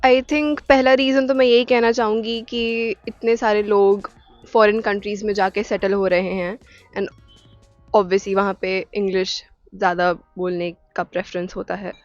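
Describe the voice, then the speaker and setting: A woman's voice: medium pitch, little pitch movement, unhurried, dry recording, soft background music. Composed woman, dry muffled take. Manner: composed, switching into English. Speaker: interviewee.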